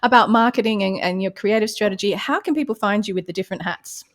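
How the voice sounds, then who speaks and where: A woman's voice: medium pitch, quick pace. A woman speaking as a podcast host in a casual, conversational style. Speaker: podcast host and creativity coach. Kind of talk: casual interview and sign-off.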